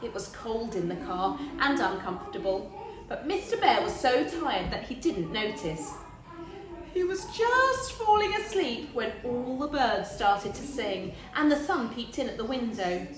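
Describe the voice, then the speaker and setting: A woman reads a children's story in a high voice. Her delivery is expressive and unhurried, rising and falling widely. The room sounds echoey. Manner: deliberate, animated storytelling. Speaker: story reader.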